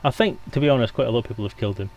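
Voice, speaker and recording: A man's voice: medium pitch, very fast, darkish tone, a little hiss or hum. A man bantering casually as a guest on a stream.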